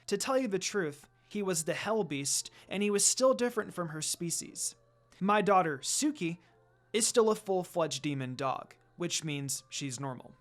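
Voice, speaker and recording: A reader voicing a gentle demon noblewoman and mother in a fan fiction story, speaking in a measured, courteous way. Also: medium pitch, animated, faint music behind, natural voice. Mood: somber.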